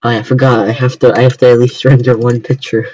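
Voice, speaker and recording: Young American male streamer, casual and halting delivery, fairly flat pitch, slightly lo-fi sound. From United States. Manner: halting, repetitive starts. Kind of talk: casual chat on a livestream.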